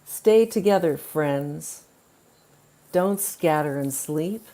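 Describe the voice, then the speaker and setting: Female poetry reader, lowish voice, very slow delivery, deliberate spacing between phrases. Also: warm tone. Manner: measured, deliberate phrasing with long pauses. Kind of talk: spoken-word poetry recital.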